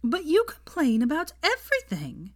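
A woman voicing a bossy, caring, exasperated young woman in an audiobook, brisk and insistent. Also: sits high, huge pitch swings, no room sound, studio quality, voice pushed up. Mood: alarmed, exasperated.

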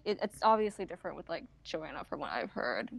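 A young woman chatting casually as a podcast co-host, informal and a little halting. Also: sits low, animated, vocal fry. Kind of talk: casual chat.

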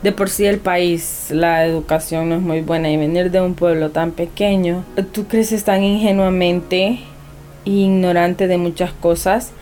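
A young woman talks earnestly, pausing and restarting as she goes. Her voice sits fairly low for a woman. It has the sound of a sit-down interview guest. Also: steady tone, mellow. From Honduras. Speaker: anonymous guest. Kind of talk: personal interview.

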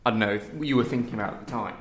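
A man's voice: on the low side, steady tone, rapid-fire, a little hiss or hum. A man talking casually and thoughtfully as an interviewed theatre director, often hedging as he goes. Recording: roomy, echoey sound.

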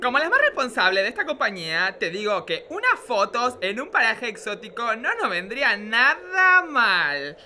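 A man's voice: very high voice, huge pitch swings, normal speed, hardly any pauses, sharp tone, heavily acted. A man playing a melodramatic, flamboyant showgirl diva in a campy comic radio play. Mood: grand, fussy. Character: melodramatic, self-styled responsible showgirl diva, a woman. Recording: clearly noisy background.

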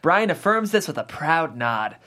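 Lively man reading stage directions. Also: fairly high pitch, measured pace. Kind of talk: scripted narration at comedy table read.

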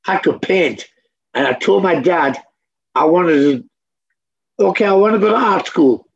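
A man's voice: high voice, lively intonation, a little slow, gravelly, slightly rough, lo-fi sound. A man, a guest in a candid interview, talking bluntly and profanely and hammering points home by repeating them. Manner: blunt, profane, emphatic repetition. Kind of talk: candid conversation.

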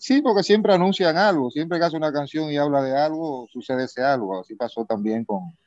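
A man from eastern Cuba with a Cuban Spanish accent, a singer being interviewed, speaking in a polite, reflective, unhurried way. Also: fairly high pitch, darkish tone, dry recording. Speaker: singer, guest. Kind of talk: casual interview. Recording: dull dry remote line.

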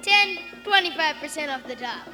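A woman's voice: sits high, animated, normal speed, over music. A woman voicing a brash, streetwise young urchin hustler with slangy, cocky patter in an audio comedy. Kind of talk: live audio comedy acting.

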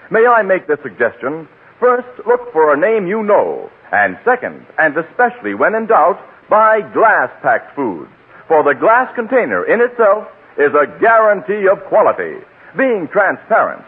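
A man's voice: fairly high pitch, husky. An American man working as a radio announcer, reading a script in polished 1940s broadcast style. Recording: tinny 1940s radio with faint music.

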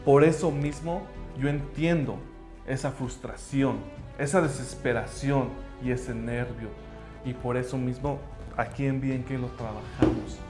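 A man whose first language is English gives an earnest, emphatic motivational talk about his language school, speaking in halting phrases. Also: medium pitch, steady tone. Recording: roomy echo with faint music.

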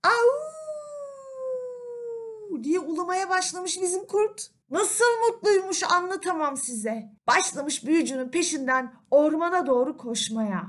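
A woman storyteller narrating a children's fairy tale in an expressive, conversational way. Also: extremely high pitch, moderate pace, few pauses. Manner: animated, conversational, addresses listeners. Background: faint music.

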